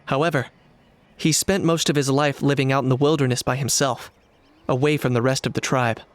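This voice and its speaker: Man acting calm, pragmatic young warrior. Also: mid-range voice, slight background noise, close to an everyday voice. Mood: serious, explanatory. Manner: measured, matter-of-fact, serious. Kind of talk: video game voice acting.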